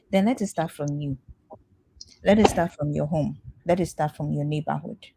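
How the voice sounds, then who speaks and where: A woman's voice: lowish voice, mellow, slight background noise, rough recording. A woman speaking as a panel guest, persuasive and repeating phrases for emphasis. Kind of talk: discussion contribution.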